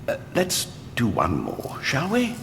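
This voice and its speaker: Prim, creaky-voiced, sadistic mad doctor. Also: male voice, medium pitch, wildly swooping pitch, slow pace, background noise, exaggerated voice. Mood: cheerfully sadistic. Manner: politely menacing, prim, avuncular. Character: genteel, sadistic mad doctor with kindly-uncle manner. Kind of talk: audio drama acting.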